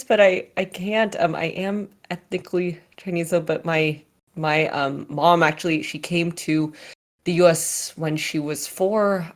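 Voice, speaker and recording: Chatty low-voiced woman, lots of fillers. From California. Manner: chatty, many fillers and restarts. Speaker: company CEO answering questions. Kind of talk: casual Q&A.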